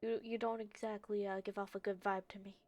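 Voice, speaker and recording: A woman livestreaming a game, reacting casually in an informal, slangy, halting way. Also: mid-range voice, flat delivery, a little mellow, no echo. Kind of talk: casual reactions and gaming commentary. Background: faint game and background music.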